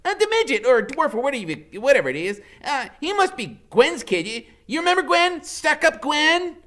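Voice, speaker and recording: Man putting on a shrill, giggly, brash woman. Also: normal speed, exaggerated voice. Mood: excited, mocking. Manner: excitable, giggly, repeats herself. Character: brash giggly adult woman, tipsy and mocking.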